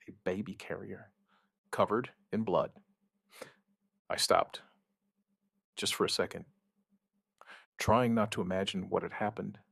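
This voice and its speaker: Low, gravelly male survivor, acted by a man. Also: fairly bright tone, dry recording, studio quality, heavily acted. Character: male outbreak survivor giving a weary, wry witness account. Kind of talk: scripted first-person audio drama narration.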